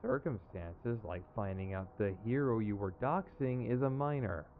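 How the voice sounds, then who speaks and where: A man's voice: on the low side, expressive, moderate pace, phone-quality sound. A man playing an exasperated but principled, protective villain in an audio roleplay, with a sardonic, emphatic, conversational delivery. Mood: exasperated, casual. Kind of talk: ASMR roleplay acting. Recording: clear background noise.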